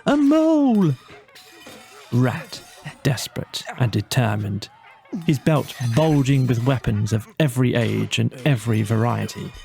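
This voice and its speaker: A man narrating a bedtime storybook in a measured, dramatic storytelling manner. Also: medium pitch, steady tone, vocal fry, a little mellow, faint music behind. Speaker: audiobook narrator.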